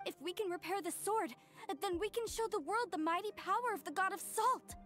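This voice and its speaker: A woman's voice: very high voice, a little fast, fairly bright tone, soft background music. An actress playing an earnest, zealous young woman in a video game, with a formal, impassioned manner. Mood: excited. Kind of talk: English game voice acting.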